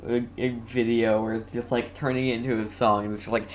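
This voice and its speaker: A woman with an unusually low voice does casual game commentary. She is informal and blunt in her reactions. There is faint noise around her. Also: level tone, fairly quick. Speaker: game streamer. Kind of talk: casual live gameplay commentary.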